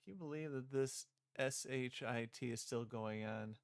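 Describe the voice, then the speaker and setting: A man's voice: mid-range voice, moderate pace, close to an everyday voice. A man voicing a chatty, opinionated schoolgirl narrator in a visual novel, breezy and teasing as she addresses the listener. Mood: indignant, judging. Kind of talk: visual novel character read-aloud.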